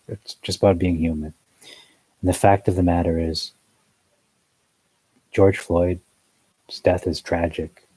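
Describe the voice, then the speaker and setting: A man's voice: sits low, mellow, dry recording, rough recording. A man giving a rambling, earnest religious commentary monologue, full of fillers and asides. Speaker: newsletter commentator.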